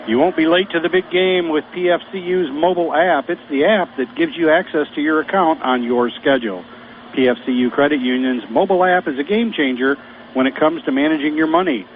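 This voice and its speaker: A man reads local radio commercials in a polished sales pitch, his voice a bit higher than most. The sound is narrow and phone-like, with faint hiss behind it. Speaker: ad announcer.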